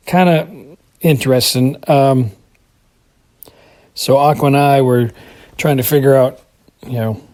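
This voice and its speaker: A man's voice: mid-range voice, darkish tone. An American man giving casual monologue commentary, rambling and hesitant as he thinks aloud. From United States. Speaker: podcast contributor reviewing a TV episode.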